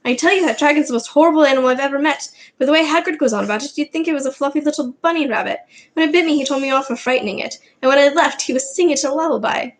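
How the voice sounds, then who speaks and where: A woman's voice: sits high, very fast, pitched above the performer's own voice, close to an everyday voice. A woman voicing a jumpy, grumbling young boy wizard in a read-aloud storybook, in a raised, strained voice.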